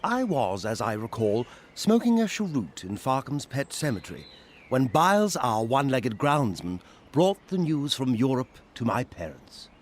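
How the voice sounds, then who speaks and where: A man's voice: medium pitch, very animated, moderate pace, a little hiss or hum, natural voice. Guy doing a young posh edwardian diary writer, bit pompous, voice goes up and down, faint outdoor birds. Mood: earnest recounting. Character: young upper-class Edwardian Englishman recalling his diary. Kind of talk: radio comedy narration.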